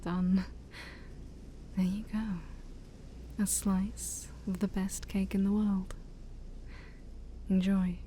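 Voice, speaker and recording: A woman plays an ancient demon princess, haughty yet shy and easily flustered, in an ASMR audio roleplay. Her voice is soft, breathy and mellow, often close to a whisper. She speaks slowly and formally, over a light bed of background noise. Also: mid-range voice, flat delivery, close to an everyday voice. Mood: gently pleased. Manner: theatrical, formal wording with awkward hesitations.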